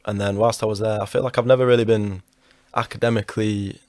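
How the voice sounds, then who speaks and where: A man's voice: fairly low pitch, level tone, fast, darkish tone, dry recording, studio quality. A young man from York, a rapper chatting casually as a guest, laid-back and slangy. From born in Iraq, raised in York, England. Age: young adult. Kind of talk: casual chat.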